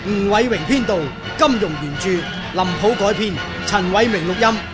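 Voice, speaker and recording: High-voiced male announcer over loud music. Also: normal speed. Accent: Hong Kong Cantonese. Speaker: radio drama credits announcer. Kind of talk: scripted credits announcement. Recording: old radio recording, loud music underneath.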